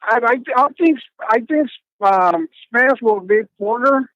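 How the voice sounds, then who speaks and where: A man in his fifties from Texas, calling in from his car while driving, speaking politely in halting sentences with many restarts. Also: extremely high pitch, lively intonation, a bit bright, dry recording. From Texas, USA. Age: 50s. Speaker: caller. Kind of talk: phone call-in. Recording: dull phone line.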